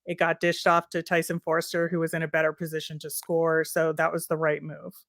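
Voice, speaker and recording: A woman's voice: low-pitched, monotone, fairly quick, fairly bright tone, no room sound, clean studio sound. A woman speaking as a sports podcast co-host, giving conversational commentary.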